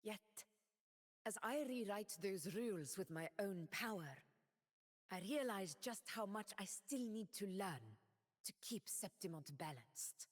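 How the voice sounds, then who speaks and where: A proud warrior ruler. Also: female voice, medium pitch, animated, long reverb tail. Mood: resolute. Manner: slow, measured and grand in speech; roaring battle shouts. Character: proud warrior woman ruler, fierce and commanding. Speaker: game character. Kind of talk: video game voice lines.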